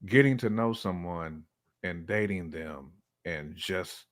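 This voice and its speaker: A man's voice: low voice, slow pace. A man giving his opinions in casual, colloquial conversation, often acting out imagined dialogue as he goes. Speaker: podcast guest or co-host.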